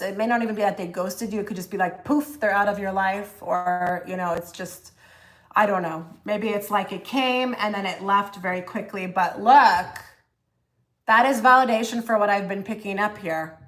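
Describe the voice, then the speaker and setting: A woman's voice: medium pitch, moderate pace, a bit bright. A woman hosting a casual tarot-reading livestream, talking chattily with many fillers and restarts while she reads cards and answers the chat. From half Egyptian. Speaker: tarot reader livestream host.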